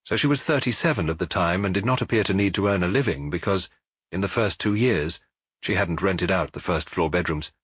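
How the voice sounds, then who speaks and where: A man narrating a detective novel as an audiobook in a measured, expressive way, recorded close to the mic. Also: deep voice, breathy edge, dry recording.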